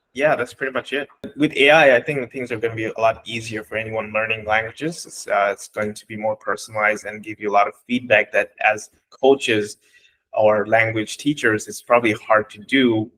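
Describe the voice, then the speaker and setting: A man from Bangladesh whose first language is Bengali, explaining code step by step in a relaxed way, with fillers and restarts. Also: mid-range voice, low-quality recording. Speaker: coding instructor. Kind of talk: walking through code live.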